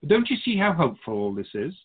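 Man playing a serene soul keeper, animated pitch, phone-like. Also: fast, breathy edge. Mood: serene, knowing. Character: otherworldly Keeper of Souls, serene wise spiritual guide. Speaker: radio drama character. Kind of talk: radio drama dialogue. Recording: slightly muffled, phone-like narrow sound.

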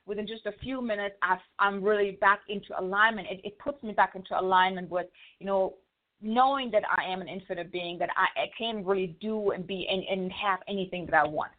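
Fast-talking German-accented woman on a phone line. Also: medium pitch. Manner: fast-talking, stumbles over words. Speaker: guest, author. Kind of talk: casual interview chat.

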